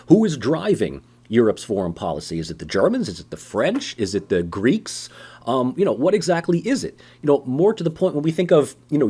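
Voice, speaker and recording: Lively, chatty male politics lecturer. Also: medium pitch, husky. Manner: conversational, many "you know" and "um" fillers, rhetorical questions; animated, acts out voices of ordinary people. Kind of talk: recorded university lecture.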